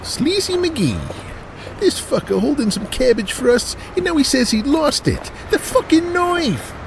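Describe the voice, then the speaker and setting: Cocky mobster, wildly swinging pitch. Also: male voice, very animated, measured pace, gravelly, slight background noise, heavily acted. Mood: brash. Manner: wise-guy swagger, profane. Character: skinny Italian-American mob collector, cocky, hot-headed tough guy. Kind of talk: audio drama acting.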